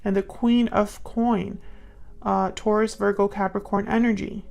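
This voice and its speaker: A woman reads tarot cards aloud with a noticeably creaky, gravelly edge. Her pitch stays in a narrow, level band. She muses as she goes, pausing to think out loud and addressing her viewers directly. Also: flat delivery. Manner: musing, halting, thinking aloud while reading cards. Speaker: tarot reader, host. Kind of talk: improvised tarot card reading to viewers.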